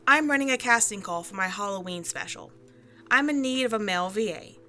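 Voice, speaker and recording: A woman's voice: mid-range voice, lively intonation, brisk, pushed and bright, slight background noise. A woman who makes ASMR roleplays, giving upbeat, direct channel announcements. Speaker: ASMR creator.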